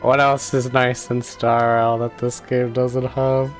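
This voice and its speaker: Streamer talking real slow n halting, thinking out loud, bit of music and keys clacking behind. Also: mid-range voice, level tone. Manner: halting, thinking aloud, typing while talking. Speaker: game streamer. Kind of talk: casual livestream chat. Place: indoors at a computer. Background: music, keyboard typing.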